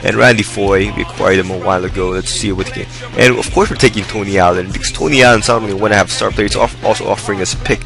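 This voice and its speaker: A young man talks through his gameplay in a low, gravelly voice that has gone hoarse from a long session. His commentary is loose and rambling but upbeat, and faint music plays underneath. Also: steady tone, quick pace, few pauses. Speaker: gaming video host. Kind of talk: casual game commentary. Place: indoors, hot room.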